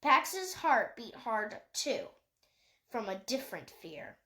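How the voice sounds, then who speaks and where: Lady reading an audiobook story out loud, pretty high voice, lots of up and down. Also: big pitch swings, slow pace. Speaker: audiobook reader. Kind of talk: scripted storybook narration.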